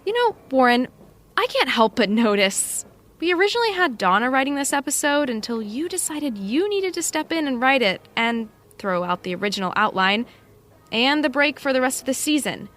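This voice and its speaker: High-voiced, dryly pointed woman colleague. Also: sing-song, moderate pace, a bit bright. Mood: pointed, sardonic. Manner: opinionated, pointed, sarcastic. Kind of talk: naturalistic audio drama acting.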